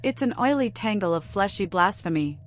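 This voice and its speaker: A woman's voice: medium pitch, animated, fairly quick, faint music behind, close to an everyday voice. A woman playing a mad female vampire in a video game, speaking in whimsical, oddly poetic riddles. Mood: eccentric. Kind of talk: video game character acting.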